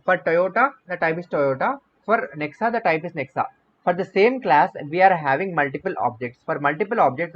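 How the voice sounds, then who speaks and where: Young man teaching code. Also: on the high side. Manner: explanatory, repeats and rephrases himself. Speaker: coding bootcamp instructor. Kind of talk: online coding lecture. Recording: slightly dull audio with faint noise.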